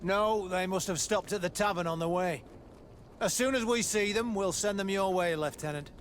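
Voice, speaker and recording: An actor playing an eager, obsequious guard underling in a video game, deferential and ingratiating. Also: male voice, sits high, moderate pace, slight background noise, lightly acted. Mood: servile.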